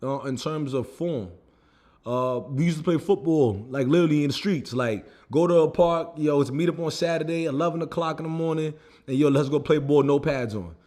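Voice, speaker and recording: A man's voice: fairly high pitch, a little fast, mellow. A young American man vlogging about his own setbacks in a loose, casual way, with lots of "you know what I mean" and "like". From United States. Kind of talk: casual personal storytelling.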